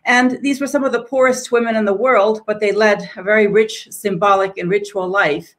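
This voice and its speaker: A woman's voice: mid-range voice, fairly even intonation, normal speed, a bit bright. Reflective woman in her sixties. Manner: reflective, storytelling. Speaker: guest scholar. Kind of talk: interview answer. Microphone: video call.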